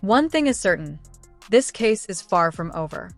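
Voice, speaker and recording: A woman's voice: medium pitch, very animated, moderate pace. Woman narrating dramatic news over music.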